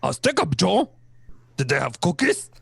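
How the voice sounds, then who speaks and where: A man playing a mild, confused elderly father in an old-time radio crime play, hesitant, with a creaky, husky voice. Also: a little high, very animated, moderate pace, a bit bright, dry recording, exaggerated voice. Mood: bewildered. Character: bewildered, mild elderly father of a grown daughter.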